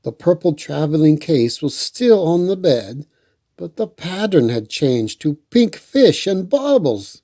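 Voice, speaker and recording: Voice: medium pitch, big pitch swings, unhurried, mellow, no room sound, clean studio sound. A children's storytime host reading a picture book aloud expressively, with playful asides to the listeners.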